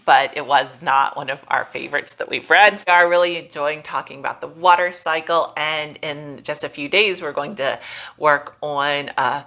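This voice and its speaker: Bright-voiced woman giving a casual update. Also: deep voice. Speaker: homeschooling mother, video host. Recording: noticeable background noise.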